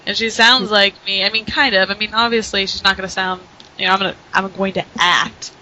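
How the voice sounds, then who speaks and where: Bright-voiced woman chatting, lots of likes and giggles, faint room noise. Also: medium pitch, steady tone, brisk, rough recording. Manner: chatty, full of fillers, giggly. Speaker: podcast guest, voice actress. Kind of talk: casual chat.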